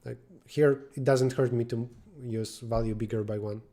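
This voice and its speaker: A man with a mellow, darker tone talks through programming problems on a live stream, thinking aloud as he goes. He breaks off now and then to answer chat messages. At times he sinks into quiet muttering while he works out the details. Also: medium pitch, fairly even intonation, normal speed.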